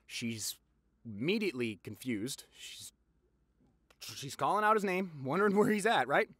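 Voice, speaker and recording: A man playing a popular boyfriend eagerly telling gossip to his partner in a conversational audio roleplay, with dramatic pauses and repeats for emphasis. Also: a little high, expressive, a bit bright, dry recording. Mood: incredulous, dramatic.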